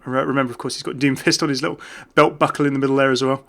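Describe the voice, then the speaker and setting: A man with a bright, forceful voice at a middle pitch gives casual video game commentary. He is chatty and jokey, rambling along in an unscripted way. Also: flat delivery, racing, creaky. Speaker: gaming YouTuber.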